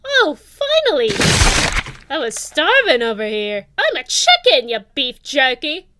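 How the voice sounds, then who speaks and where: A ravenous animatronic chicken in a video game. The voice is high and swoops around with sassy relish, each quip measured out without hurry. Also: female voice, wildly swooping pitch, very noisy, heavily acted. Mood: hungry, excited. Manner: sassy, punning, exclamatory. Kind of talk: video game character voice lines.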